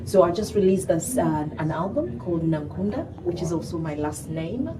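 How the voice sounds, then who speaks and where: A woman's voice: fairly low pitch, normal speed. A young woman from Uganda with a Ugandan accent, speaking animatedly as a gospel singer being interviewed, often saying "you know". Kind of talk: interview conversation. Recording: distant mic, noisy background.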